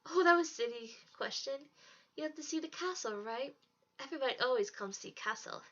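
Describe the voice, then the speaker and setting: A woman's voice: high-pitched, animated, brisk, fairly bright tone, muffled recording, full character voice. A woman voicing an eager, innocent ten-year-old German village boy with a German accent in a storybook reading. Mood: chatty, eager. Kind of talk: storybook character voice.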